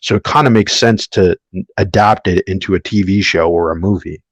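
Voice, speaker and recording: A man's voice: low-pitched, normal speed, no echo. A man on a podcast panel giving his opinion in casual discussion, argumentative and often checking with "right?".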